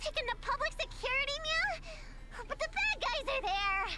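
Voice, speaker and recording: A woman voicing a naive, excitable little girl in an anime-style video game, childlike, wide-eyed and full of exclamations. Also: extremely high pitch, normal speed, exaggerated voice. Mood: excited. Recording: music behind the voice. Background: background music.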